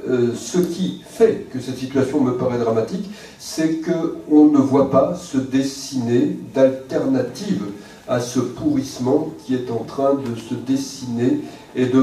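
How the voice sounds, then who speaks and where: A man giving a measured lecture to an audience, often pausing on hesitant fillers. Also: mid-range voice, mellow, a little hiss or hum. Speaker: guest speaker. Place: lecture hall. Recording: very echoey hall, mic far off.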